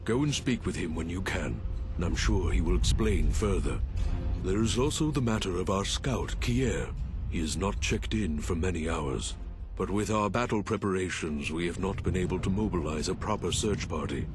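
Deep-voiced solemn old creature elder. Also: male voice, animated. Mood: formal, concerned. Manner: grave, measured, formal. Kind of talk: scripted game dialogue. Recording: music behind the voice.

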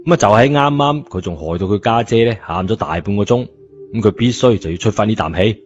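A man's voice: on the low side, lively intonation, quick pace. A man narrating a story in a colloquial scripted style, in a dull recording. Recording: dull lo-fi audio, music behind.

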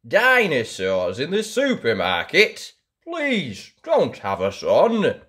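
A woman voicing exasperated grown-up supermarket staff in a children's storybook, with a dramatic, drawn-out delivery. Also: deep voice, huge pitch swings, fairly bright tone, voice pushed down, exaggerated voice. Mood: disbelieving. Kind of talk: children's storybook read-aloud.

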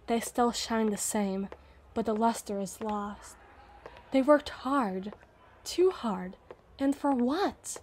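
A woman's voice: a little high, a little mellow, a little hiss or hum. A woman playing a rich, possessive young heiress who is condescending and doting, with a haughty, teasing manner, in an ASMR roleplay. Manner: haughty, teasing, drawn-out words. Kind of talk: ASMR roleplay, scripted monologue.

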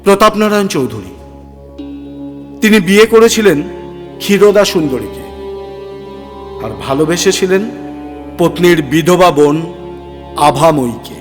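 A man with a high-pitched voice narrates a dramatic story in a measured, literary way. His voice carries a long reverb, and music plays underneath. The delivery is theatrical and scripted. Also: animated.

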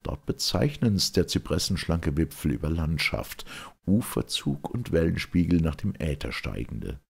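Very deep, gravelly man reciting verse. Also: big pitch swings. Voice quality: gravelly, husky. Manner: solemn, theatrical, measured. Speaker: reader of classic literature. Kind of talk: dramatic verse recitation of a classical play.

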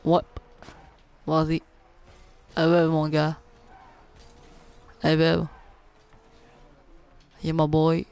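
A young man with a Québécois French accent, live-streaming a car soccer game, chatting with viewers and reacting loudly to plays. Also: a little high, extremely slow, vocal fry, long pauses, smooth. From Quebec. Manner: excitable, groans and repeats "non" at misses. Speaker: gaming streamer. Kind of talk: live gameplay commentary reading chat.

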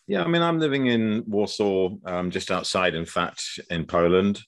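A man in his sixties with an English accent, a guest author explaining things in a measured way with frequent ums over a video call. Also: lowish voice. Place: dim room indoors.

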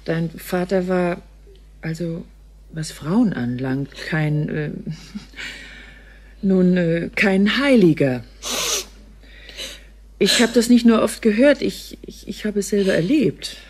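An actress playing a blunt, opportunistic woman with a husky voice, talking in a hesitant conversational way in a sci-fi radio drama. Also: on the low side, lively intonation, slow pace, pauses often, darkish tone, full character voice. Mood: awkward, frank. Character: blunt, opportunistic worldly woman, brokering a deal. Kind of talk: science fiction radio drama acting.

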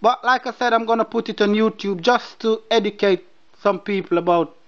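A man with a Nigerian accent speaks in a fairly high voice, slow and deliberate, blunt and mocking. The recording is dull and lo-fi. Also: smooth. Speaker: person baiting a scam caller. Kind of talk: confrontational phone call.

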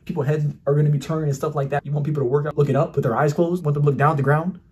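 A man's voice: fairly high pitch, steady tone, racing, a little mellow. A young man in his twenties giving brash, boastful, mocking commentary in casual talk, filmed in a small indoor room. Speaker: commentary YouTuber.

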